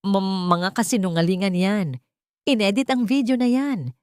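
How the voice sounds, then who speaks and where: A woman's voice: medium pitch, moderate pace, darkish tone, smooth, dry recording, studio quality, pitched above the performer's own voice, lightly acted. A woman acting a trembling haughty old matriarch. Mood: frightened denial.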